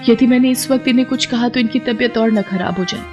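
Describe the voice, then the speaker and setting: A woman's voice: fairly high pitch, fast talker, over music, pitched above the performer's own voice, lightly acted. A woman voicing a devout, stubborn, tender young wife in a folktale, with an earnest, emotive delivery. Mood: devoted, resolute.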